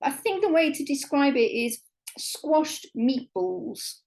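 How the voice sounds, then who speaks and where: A woman's voice: high voice, animated, slow, a little mellow. A woman, a travel writer chatting enthusiastically as an interview guest, with many fillers. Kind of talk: conversational podcast interview.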